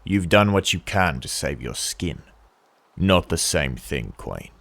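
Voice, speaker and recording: An actor playing a menacing, cynical mercenary in an audio drama, mocking and deliberate in his threats. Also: deep voice, sing-song, vocal fry, a bit bright, no echo, heavily acted. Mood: menacing, cold. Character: menacing cynical male mercenary, a hardened survivor.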